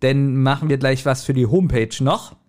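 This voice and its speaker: Ein Mann, der als Co-Host eines Podcasts ungezwungen plaudert. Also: male voice, medium pitch, moderate pace, darkish tone. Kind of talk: casual podcast chat.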